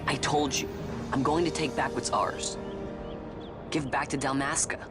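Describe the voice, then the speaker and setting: Man playing a restless teenage boy, medium pitch. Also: steady tone, unhurried, over loud music, close to an everyday voice. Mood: eager, stubborn. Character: restless, stubborn teenage boy dreaming of adventure. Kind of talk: naturalistic game voice acting.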